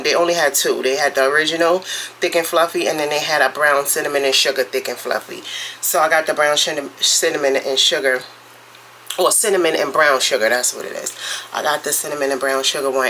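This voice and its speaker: Casting: congested woman vlogger, bright forceful tone, rambling kitchen chat, faint noise behind. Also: low-pitched, rough recording. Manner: chatty, rambling, drawn-out storytelling. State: congested with a cold. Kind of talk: casual morning vlog chat. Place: home kitchen, cooking.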